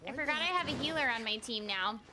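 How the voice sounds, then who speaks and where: High, animated young woman streamer. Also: quick pace, noisy background. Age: young adult. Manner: playful, giggly, exclamatory. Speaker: game streamer. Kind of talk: casual livestream chat while gaming. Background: game music.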